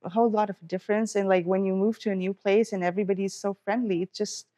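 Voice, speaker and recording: A woman's voice: medium pitch, steady tone, quick pace. A middle-aged woman from Pakistan, a dentist, telling her story in a casual interview while cooking, acting out what people said along the way. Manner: chatty, warm storytelling with quoted asides. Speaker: guest, dentist.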